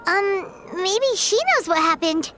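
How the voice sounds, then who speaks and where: A woman voicing a tiny, curious fairy companion in a video game, with a high childlike voice and an excitable, chatty manner who talks about herself in the third person. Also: slow pace, exaggerated voice.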